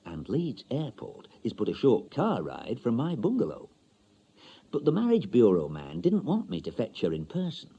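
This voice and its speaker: An actor playing a chatty, wistful older Yorkshire bachelor in a radio monologue, colloquial, confiding and droll. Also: male voice, medium pitch, wildly swooping pitch, moderate pace, mellow, breathy edge, lo-fi sound, full character voice. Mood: wry, confiding. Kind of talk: dramatic monologue, radio short story.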